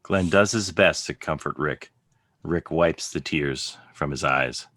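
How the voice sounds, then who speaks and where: A man's voice: rumbling low, measured pace, fairly bright tone, no echo, studio quality. A man narrating scripted stage directions as the narrator of a drama reading.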